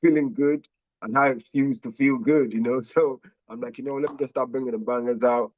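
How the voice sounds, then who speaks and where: A young man from Nigeria talks in an easygoing, slangy way, often breaking into laughter. His voice sits at a middle pitch. The sound is dry and close. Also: fairly even intonation, fairly quick, dry recording, dull audio. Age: young adult. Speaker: guest musician. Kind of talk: casual interview chat.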